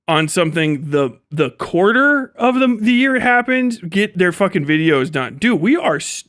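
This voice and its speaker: Higher-voiced, foul-mouthed male streamer. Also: a bit bright, no echo, clean studio sound. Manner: foul-mouthed, frequent heavy swearing. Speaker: livestream host and content creator. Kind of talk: casual livestream chat, ranting. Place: indoor home streaming setup. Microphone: close studio microphone.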